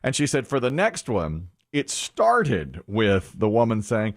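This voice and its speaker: A man's voice: on the low side, unhurried, dry recording, clean studio sound. A man hosting a morning radio show, telling stories in casual banter and mimicking other people's voices. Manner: animated storyteller, mimics voices.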